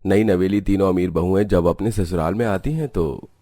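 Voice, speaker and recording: A man's voice: low-pitched, normal speed, warm tone, dry recording, studio quality. A man reading scripted narration for a cartoon story.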